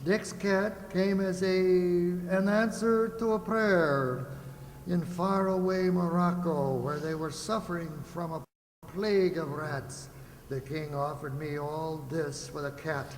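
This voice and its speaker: Man acting a slow-spoken, kindly sea captain. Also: high voice, steady tone. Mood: hearty, proud. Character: kindly, hearty merchant sea captain and father. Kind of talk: stage-like radio play acting. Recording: echoey hall, faint noise.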